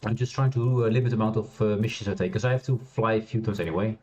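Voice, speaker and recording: A man streaming a space game, chatting loosely with many fillers and restarts, laughing now and then. He has a loud voice. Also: on the low side, quick pace, dull audio, a little hiss or hum. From Europe. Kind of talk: casual gameplay commentary. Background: quiet game music.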